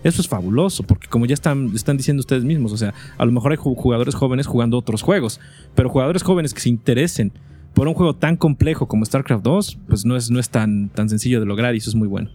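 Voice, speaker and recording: A man's voice: mid-range voice, fast, dark tone, faint music behind. A man co-hosting a casual esports podcast, talking informally and often repeating words as he finds his phrasing. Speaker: podcast co-host. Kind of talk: casual chat about esports.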